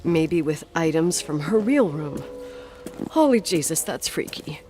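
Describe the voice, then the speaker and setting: A woman's voice: low voice, animated, measured pace, dark tone, a little hiss or hum. An actress playing a strong-willed, defiant young woman in a video game, with an intense, emphatic, sweary delivery. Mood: brooding, thinking aloud. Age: young adult. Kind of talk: naturalistic video game voice acting.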